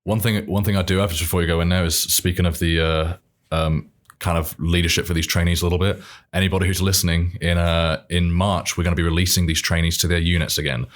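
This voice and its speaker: A man's voice: low-pitched, little pitch movement, fast. A man with a British accent, a guest chatting in an easygoing, joking way with frequent ums. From United Kingdom. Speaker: podcast guest, airman. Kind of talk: casual interview chat.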